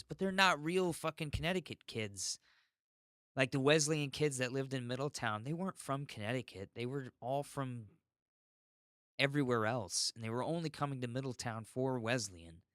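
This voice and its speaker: A man's voice: medium pitch, lively intonation, moderate pace, fairly bright tone, no room sound, clean studio sound. An American man hosting a podcast alone, rambling and ranting in an unfiltered, sweary way. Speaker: podcast host. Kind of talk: rambling solo podcast monologue.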